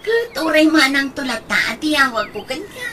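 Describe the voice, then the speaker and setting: A woman's voice: high-pitched, sing-song, moderate pace, bright, forceful tone, exaggerated voice. A woman playing a frank, teasing younger sister in a comedy radio drama. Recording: noticeable background noise.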